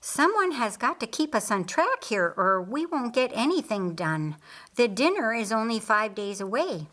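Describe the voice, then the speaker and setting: A woman's voice: on the high side, very animated, normal speed, fairly bright tone. Audiobook part: friendly, organised man, earnest and practical read by a woman. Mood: businesslike.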